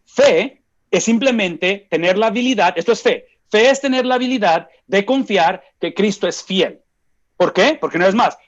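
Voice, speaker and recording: A Mexican man in his forties leads a Bible study. He speaks in a slightly raised register and presses each point home by asking himself questions, then answering them. The recording sounds dull and lo-fi. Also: high voice. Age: 40s. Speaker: Bible teacher. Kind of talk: Bible study teaching to a group.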